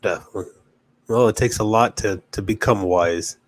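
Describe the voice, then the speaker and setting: A man's voice: lowish voice, normal speed, no room sound. A man in his twenties hosting a podcast, asking questions in a casual, friendly, slangy way. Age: 20s. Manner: casual, friendly slang, says bro a lot. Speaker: podcast host. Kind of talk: casual interview.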